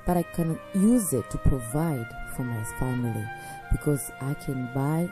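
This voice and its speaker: A woman with a low voice gives a documentary interview as a widowed mother. She talks earnestly in short, halting phrases. Music plays clearly under her voice. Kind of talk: documentary testimony. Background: background music.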